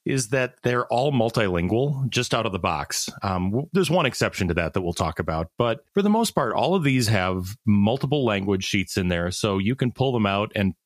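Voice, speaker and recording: A man's voice: fairly low pitch, expressive, moderate pace, few pauses, no echo, studio quality. A man co-hosting a casual podcast chat, talking with enthusiasm and telling stories. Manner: enthusiastic, storytelling, frequent laughs. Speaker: podcast co-host.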